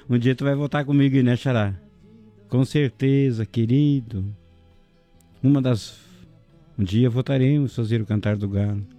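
A chatty man hosting a local radio show. His voice is dark and mellow, with soft music playing faintly behind him. He jokes around and rattles off shout-outs to listeners and sponsors. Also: mid-range voice, fairly even intonation, a little slow, pauses often. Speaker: radio host. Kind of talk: casual local radio chat.

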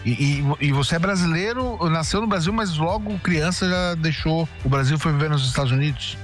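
A man's voice: fairly high pitch, brisk, soft background music. A man with a Brazilian accent hosting a podcast interview, talking with frequent restarts and fillers. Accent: Brazilian Portuguese. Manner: hesitant, many restarts and fillers. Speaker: podcast host. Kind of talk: interviewing a guest.

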